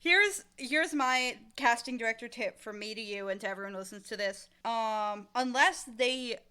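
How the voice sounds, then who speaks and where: A woman chatting casually as an interview guest, explaining her craft in an animated, emphatic way. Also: a little high, normal speed, clear, resonant tone. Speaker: interview guest, audio drama creator and casting director.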